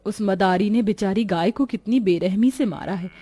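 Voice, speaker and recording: A woman voicing a kind, devout village wife in a cartoon story, speaking softly and with compassion. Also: medium pitch, moderate pace, music in the background, natural voice. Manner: soft, compassionate.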